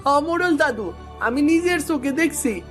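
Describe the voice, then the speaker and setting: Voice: high-pitched, lively intonation, moderate pace, soft background music. An actor voicing an indignant young village child in a cartoon moral story, scolding, with a rural Bangladeshi Bengali dialect. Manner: scolding, repetitive.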